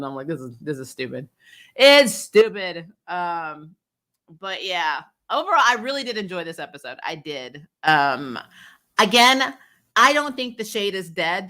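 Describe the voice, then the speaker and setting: Bright-voiced, opinionated woman recapping TV. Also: lowish voice. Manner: animated retelling, acting out dialogue, prone to chuckling; blunt, opinionated, mildly profane. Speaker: host of a TV recap show. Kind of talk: casual episode recap and commentary.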